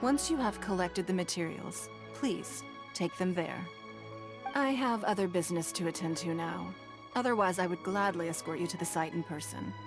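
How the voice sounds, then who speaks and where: An actress playing a composed, powerful businesswoman who speaks in measured, formal, authoritative phrases. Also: lowish voice, lightly acted. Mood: cool, inviting. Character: poised, powerful businesswoman leader, exacting and composed. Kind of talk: video game voice acting. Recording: background music under dialogue.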